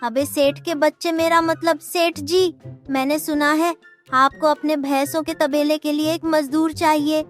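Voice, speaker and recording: Whiny sneaky cartoon clerk, really high voice, kinda flat delivery, music behind. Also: male voice, measured pace. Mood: wheedling. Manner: whiny, wheedling, repeats a catchphrase. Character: scheming, flattering village moneylender's clerk, adult man. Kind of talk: Hindi cartoon voice acting.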